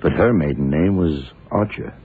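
An actor playing a shrewd, dogged FBI investigator in a radio crime drama, terse and deliberate. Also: male voice, sits low, breathy edge. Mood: brisk, sure. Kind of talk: 1960s radio drama acting. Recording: muffled, noisy old radio broadcast recording.

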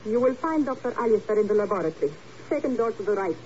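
An actress playing a businesslike, guarded laboratory secretary in a 1950s radio drama, speaking in a formal, clipped, evasive way. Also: female voice, fairly high pitch, animated, quick pace, close to an everyday voice. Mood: coolly guarded. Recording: muffled, faintly noisy 1950s radio.

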